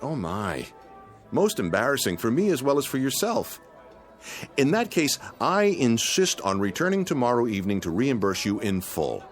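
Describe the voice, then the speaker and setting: A man's voice: mid-range voice, sing-song, normal speed, faint music behind, close to an everyday voice. An actor playing a pompous, penny-pinching man with overly polite, formal speech in a radio drama. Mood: flustered, smooth-talking. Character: fussy, pompous, penny-pinching male freeloader.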